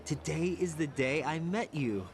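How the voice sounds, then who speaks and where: A man's voice: on the high side, expressive, unhurried, background noise. An actor playing a searching, tender young male soldier in dramatic video game cutscene dialogue. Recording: loud music over the dialogue.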